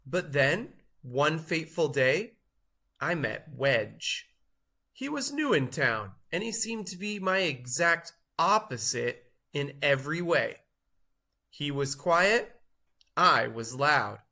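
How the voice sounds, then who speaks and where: A man voicing a boastful, show-off cheese in a theatrical, grandiose storybook read-aloud with drawn-out emphasis. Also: fairly high pitch, sing-song, pushed and bright, heavily acted. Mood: dramatic storytelling.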